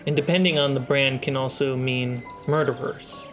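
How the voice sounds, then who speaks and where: A man running a tabletop game as game master, answering the players' questions in a relaxed, matter-of-fact way. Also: mid-range voice, moderate pace. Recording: music underneath.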